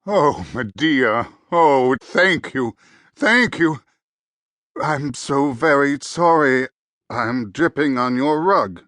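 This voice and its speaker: Earnest, slow-speaking king. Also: medium pitch, expressive, a bit bright, dry recording, clean studio sound. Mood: grateful, flustered. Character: eager, earnest ancient Greek king. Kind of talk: audiobook drama acting.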